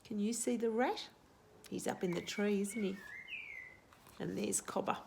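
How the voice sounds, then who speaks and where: A woman's voice: mid-range voice, measured pace, gravelly, noisy background. A woman hosting a children's storytime, reading a picture book and explaining it simply with questions for young listeners. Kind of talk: storybook read-aloud with asides to young children.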